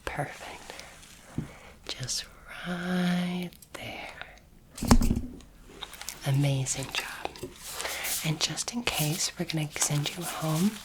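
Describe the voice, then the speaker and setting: A woman whispering gently and reassuringly in an ASMR roleplay, acting as a calm, kindly alien doctor, close to the microphone. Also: low voice, very noisy. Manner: soothing, gentle, reassuring, unhurried. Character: kindly, calm female alien space doctor examining a human. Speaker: ASMR performer playing an alien space doctor.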